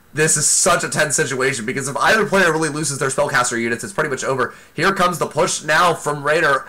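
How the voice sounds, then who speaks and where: A man giving excited live play-by-play commentary on a video game match, exclaiming often, while losing his voice. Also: on the high side. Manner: excitable, exclaims often, talks fast in bursts. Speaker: esports commentator.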